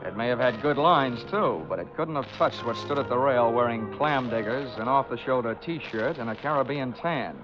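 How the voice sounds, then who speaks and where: An actor playing a wry, hard-boiled insurance investigator in a 1940s radio detective drama, crisp and sardonic. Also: male voice, medium pitch, normal speed, few pauses, over music, close to an everyday voice. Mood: wry narration. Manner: deadpan, sardonic, crisp wisecracks. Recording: dull-sounding 1940s radio recording.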